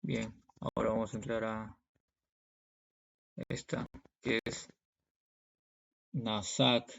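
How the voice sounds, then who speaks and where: A man teaches from scripture in a gravelly, creaky voice. He keeps a fairly flat, mid-pitched line as he reads verses and explains them, adding short check-in tags. The recording is dull and lo-fi. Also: slow. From Peru. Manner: didactic, punctuated with check-in tags like "okay" and "right". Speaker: preacher, Bible teacher. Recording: dull, lo-fi sound.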